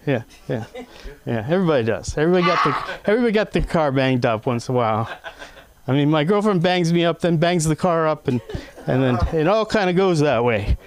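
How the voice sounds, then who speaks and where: A man doing open mic stand-up, rambling and self-deprecating with many fillers. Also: on the high side. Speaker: stand-up comic. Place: comedy club stage.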